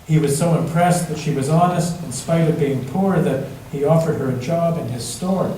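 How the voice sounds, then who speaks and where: Male storyteller, slightly high-pitched, dramatic picture-book read-aloud with emphatic pauses, in a very reverberant hall. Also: dark tone. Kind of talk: expressive read-aloud storytelling for all ages. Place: large indoor church space, heard from a distance. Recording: echoey church hall, distant mic, faint noise.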